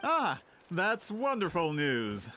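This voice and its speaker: Eager scholar, fairly high male voice, animated intonation, formal, courteous, video game dialogue. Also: slow, exaggerated voice. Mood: courteous, pleased. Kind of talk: video game character acting. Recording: music behind the voice.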